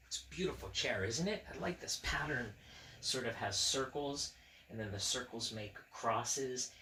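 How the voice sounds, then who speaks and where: Slow-paced man reading to children, bright voice. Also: medium pitch, recorded from a distance. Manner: gentle, rambling, addresses listeners with questions. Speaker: children's story-time reader and host. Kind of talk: read-aloud storytelling with chatty asides to children. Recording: roomy echo, clear background noise.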